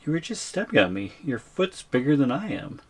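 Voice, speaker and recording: A man playing a tiny, nervous boyfriend held by a giantess in an ASMR audio roleplay, hesitant and gently protesting. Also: medium pitch, sing-song, a little fast, husky. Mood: nervous, accusing.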